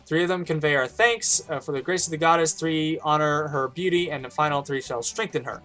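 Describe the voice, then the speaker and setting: A man with a hoarse voice reading the formal lines of a temple priest in a game. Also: fairly high pitch, brisk, pushed and bright, rough recording. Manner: formal, read aloud.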